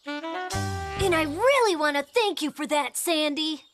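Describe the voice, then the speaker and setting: A very high, lively cartoon rabbit talks in an earnest, wondering way. Children's music plays clearly behind the voice. The pitch leaps around a lot. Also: female voice, slow. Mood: thoughtful. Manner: earnest, wondering, a bit self-doubting. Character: young rabbit with a bright cotton tail.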